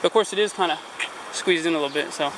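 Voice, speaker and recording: A man's voice: on the high side, low-quality recording. A man filming his own kayak trip, chatting casually and chuckling with fellow paddlers as he paddles down a river. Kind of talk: casual on-the-water commentary. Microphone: action camera on the kayak bow. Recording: loud rushing water and wind noise. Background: rushing river water.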